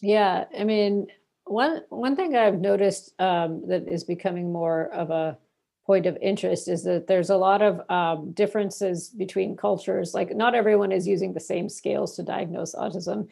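A woman's voice: medium pitch, steady tone, normal speed, vocal fry, dark tone. A young woman from Bangladesh, a researcher, answering interview questions in a rambling way full of fillers and restarts.

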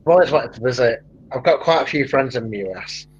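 Man, Mancunian, animated storyteller; husky, slightly high, dull lo-fi recording. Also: fast. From England (Manchester). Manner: animated storyteller, laughs often, rising pitch when excited. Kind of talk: casual chat.

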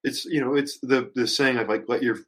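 A man chatting casually as a podcast panelist, haltingly thinking aloud as he goes. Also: mid-range voice, fairly even intonation, a bit bright, breathy edge. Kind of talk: casual chat.

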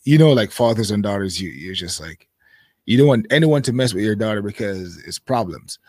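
A man in his thirties, a guest in a casual interview, speaking thoughtfully with many pauses and fillers. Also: fairly low pitch, lively intonation, darkish tone, no room sound, studio quality.

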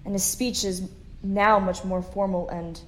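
A woman playing a steady, caring starship officer. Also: medium pitch, a little slow, natural voice. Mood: brisk. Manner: firm but kind. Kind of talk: audio drama acting.

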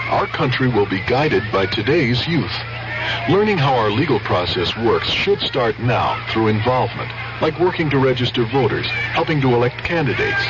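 A man reading an earnest, formal public service announcement for seventies radio. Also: mid-range voice. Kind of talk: scripted 1970s radio announcement. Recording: dull 1970s radio recording.

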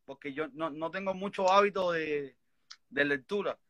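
A man's voice: fairly high pitch, moderate pace, bright, forceful tone. A Cuban man in his thirties, a guest earnestly telling a personal story with many restarts. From Cuba. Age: 30s. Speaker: interview guest.

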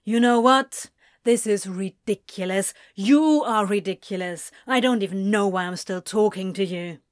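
A woman voicing a volatile young man who taunts and shouts. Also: medium pitch, a little slow, no room sound, clean studio sound, close to an everyday voice. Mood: scornful. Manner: taunting and loud.

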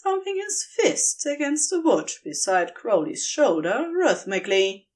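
Unhurried woman reading fiction expressively. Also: fairly high pitch, sing-song. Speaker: audio fiction reader. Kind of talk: expressive scripted story narration.

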